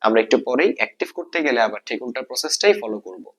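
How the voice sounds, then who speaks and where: A man's voice: medium pitch, sing-song, rapid-fire, gravelly, slightly rough. A man with a Bangladeshi accent teaching English grammar step by step, often repeating points and posing questions he then answers. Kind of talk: explanatory exam-prep lesson, step by step.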